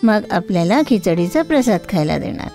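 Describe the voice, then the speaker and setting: A woman's voice: medium pitch, sing-song, normal speed, vocal fry, dark tone, soft background music. A woman voicing a humble, devout poor mother in a children's moral tale, earnest and courteous. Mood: welcoming, concerned.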